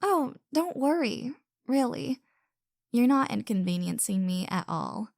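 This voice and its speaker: An actress plays a caring young woman who is shyly smitten, in an intimate audio roleplay. She takes her time, stammering softly and earnestly, with some vocal fry. The recording is close and dry. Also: a little high, sing-song, unhurried, dry recording, exaggerated voice. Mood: shy, warm. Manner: stammering, hesitant, soft and earnest. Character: flustered, caring young woman hosting her secret crush.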